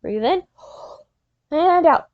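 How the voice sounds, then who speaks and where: High-voiced woman reading aloud to children. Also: animated, dry recording, phone-quality sound. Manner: encouraging, instructional, pausing to prompt and praise listeners. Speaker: teacher reading a picture book aloud to children. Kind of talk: interactive read-aloud, storytelling with questions to young listeners.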